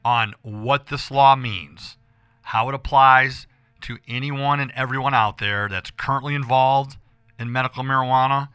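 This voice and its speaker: An American man hosting a casual radio show with a hoarse voice, talking in a rambling, emphatic way and repeating himself as he addresses listeners. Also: medium pitch, steady tone, moderate pace, pushed and bright, dry recording, clean studio sound. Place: radio studio.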